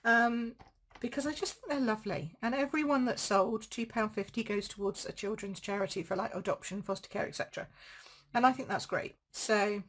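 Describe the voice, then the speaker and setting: A woman with a croaky throat, chatting casually as she demonstrates card making at home. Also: medium pitch, brisk, fairly bright tone. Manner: chatty, rambling, thinks aloud while crafting. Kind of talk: casual live craft demo.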